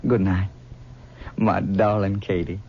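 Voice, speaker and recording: A man's voice: low voice, level tone, slow. A man playing a haunted young husband who speaks theatrically and stammers under stress in a 1970s radio drama. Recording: dull noisy old radio broadcast.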